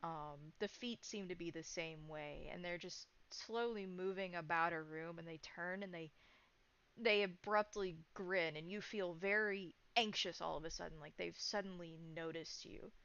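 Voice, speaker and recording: A woman running a horror tabletop game as game master, narrating in halting, descriptive phrases. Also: lowish voice, fairly bright tone. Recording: dull, lo-fi sound.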